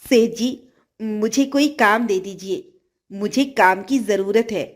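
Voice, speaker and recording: A woman's voice: medium pitch, takes it slowly, pitched below the performer's own voice. A woman voicing a poor, kind-hearted young boy in a children's fairy tale, polite, earnest and pleading.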